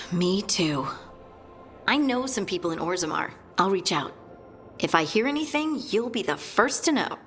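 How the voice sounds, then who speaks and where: A woman's voice: medium pitch, sing-song, a little slow, a bit bright, faint music behind. A woman voicing an earnest, hopeful female dwarf in a video game, speaking in a thoughtful, hesitant way. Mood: wistful.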